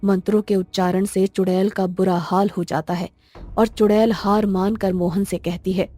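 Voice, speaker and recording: A woman's voice: medium pitch, level tone, normal speed, dark tone, no echo. A woman storyteller reading scripted narration for a cartoon tale. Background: faint music.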